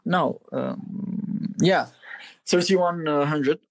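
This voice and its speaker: Creaky-voiced young man from Algeria. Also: fairly high pitch, drawn-out words, dry recording. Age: 20s. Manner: hesitant, many fillers and restarts, laughs often. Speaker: guest photographer. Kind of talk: casual interview chat.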